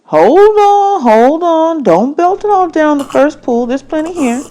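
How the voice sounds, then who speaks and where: A woman reader puts on a friendly driver from a children's storybook, a grown man who teases and frets a little. The voice is lifted well above the reader's own, with slow, stretched and folksy emphasis. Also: sits high, sing-song, dark tone, pitched above the performer's own voice. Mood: gently firm. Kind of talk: children's novel read aloud.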